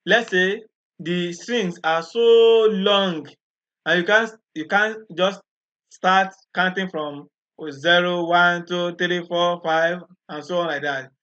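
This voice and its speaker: A man with a slightly high, bright voice talks slowly and patiently through a coding tutorial. He explains each step and often repeats himself. The recording sounds dull and lo-fi. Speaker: programming tutor. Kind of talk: screen-recorded coding walkthrough.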